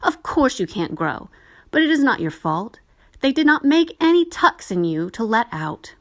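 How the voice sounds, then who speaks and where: A tender-hearted little girl from an old storybook, earnest and pleading, as a woman reader puts her on. She sounds protective and close to tears. Her voice rides high and loud above the narration. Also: expressive, normal speed.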